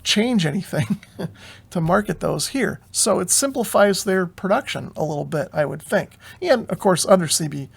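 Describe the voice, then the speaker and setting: Higher-voiced American man with gravelly creak. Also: expressive, moderate pace. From United States. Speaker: video host, amateur radio hobbyist. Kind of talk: informal explainer commentary on radio news.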